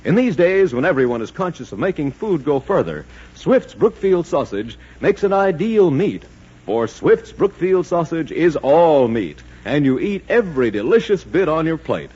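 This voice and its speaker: A man's voice: on the high side, sing-song, normal speed, darkish tone, breathy edge. A man announcing and selling in 1940s radio style, with an emphatic, salesmanlike delivery. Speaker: radio announcer and sponsor pitchman. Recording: muffled, noisy 1940s radio recording.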